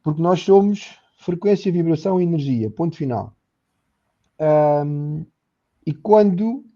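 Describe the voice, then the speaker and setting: Portuguese guy w/ a gravelly dark voice, earnest explainer, dull lo-fi sound. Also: fairly high pitch. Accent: European Portuguese. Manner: earnest, explanatory, repeats words while thinking. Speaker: therapist guest. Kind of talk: conversational podcast interview.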